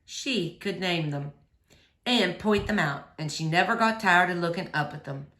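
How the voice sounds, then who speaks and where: In a roomy space, a woman plays a melancholy middle-aged dad, a preacher from a children's novel. The voice drops low and goes measured and subdued, quietly weighing each phrase. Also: lively intonation. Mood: fond, reminiscing. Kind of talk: children's novel read aloud.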